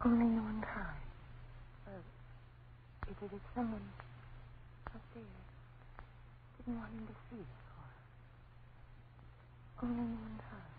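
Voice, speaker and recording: A protective, horrified mother in a vintage radio chiller. Her delivery is intense and breathless at a middling pitch. The sound is thin and tinny, with clear hiss. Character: horrified young mother, hushed and anxious, protective. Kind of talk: old-time radio horror acting. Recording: tinny old radio recording, heavy noise.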